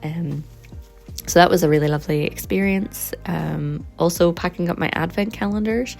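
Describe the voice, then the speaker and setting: A woman chatting casually in a vlog, her voice slightly hoarse and croaky, rambling in a relaxed way with frequent ums. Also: fairly low pitch, moderate pace, soft background music. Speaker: vlogger and sticker and journal maker.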